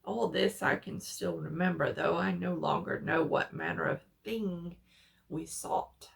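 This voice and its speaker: A woman narrates a horror story at a very slow pace. Her voice is lowish and full of creak, and her delivery is solemn and deliberate. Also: fairly even intonation. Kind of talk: dramatic reading of a horror story.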